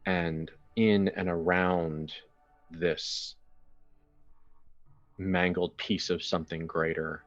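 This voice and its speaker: A man narrating a horror tabletop game as game master, in a deliberate, dramatic storytelling manner with weighty pauses. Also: deep voice, flat delivery. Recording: slightly dull audio with music behind. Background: music.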